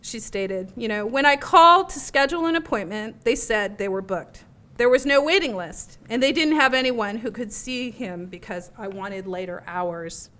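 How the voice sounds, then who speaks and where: Higher-pitched woman presenting research. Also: animated, moderate pace. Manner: fillers and self-corrections, reading figures off slides; says she has a loud voice. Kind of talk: academic conference talk. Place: lecture room.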